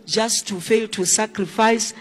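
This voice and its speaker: A male pastor questioning a young man and praying over him in slow, commanding words during a church service. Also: high voice, fairly even intonation. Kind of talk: deliverance prayer at a church service. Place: church hall with an audience. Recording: long hall reverb.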